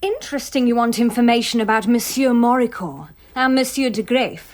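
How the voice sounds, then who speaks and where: A woman's voice: on the high side, animated, brisk, darkish tone, natural voice. A woman playing a nosy, gossipy French village postmistress in a radio drama, eager, chatty and confiding.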